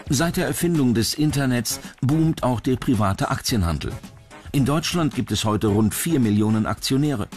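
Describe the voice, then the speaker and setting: A man's voice: mid-range voice, expressive, moderate pace, a little mellow. A man delivering scripted documentary narration. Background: background music.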